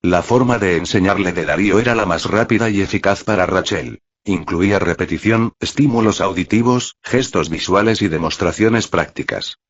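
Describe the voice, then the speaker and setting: A low-voiced man narrates a scripted story in a husky tone. He reads the dialogue in his own narrating voice, as in an audiobook. The audio is dull and lo-fi. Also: normal speed. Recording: muffled, roomy, lo-fi audio.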